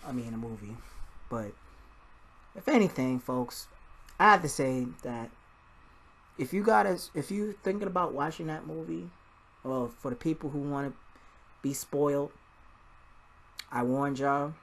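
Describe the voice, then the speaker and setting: A man's voice: fairly high pitch, measured pace, long pauses, fairly bright tone. A man giving a casual, rambling movie review in an informal, mildly profane vlog style. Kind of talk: casual spoiler-filled film review vlog.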